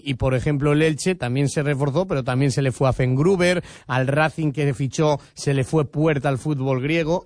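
A man presents sports news on the radio in a steady, informative way. His voice is mid-pitched and the studio sound is dry and close. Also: dry recording. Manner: brisk, informative, many fillers.

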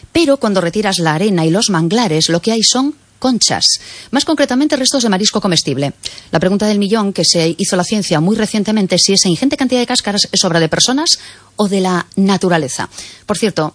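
A woman hosting a radio interview in a studio, chatty and lively. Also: medium pitch, no room sound. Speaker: radio host. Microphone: studio microphone.

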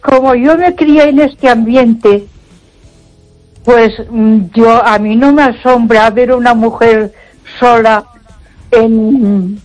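A woman's voice: fairly high pitch, slow pace, a little mellow, no room sound, faint music behind, poor audio. An older woman from Barcelona calling a radio show, talking in an emphatic, repetitive way with frequent exclamations. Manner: emphatic, repeats herself, frequent ay interjections. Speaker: radio caller. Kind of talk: casual phone-in chat.

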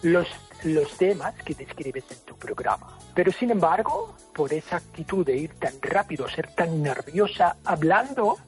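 An Irish man whose first language is English talks in Spanish with an Irish accent. He speaks deliberately and hesitates often, with many fillers. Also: fairly high pitch, sing-song, soft background music. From Ireland. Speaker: guest, listener.

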